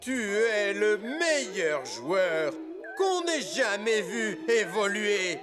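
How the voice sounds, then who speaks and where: A domineering male cartoon villain, speaking at a crawl with huge sweeps of pitch. He is scheming and theatrical, every phrase a command. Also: very animated, drawn-out words, over music, heavily acted. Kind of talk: animated cartoon dubbing in French.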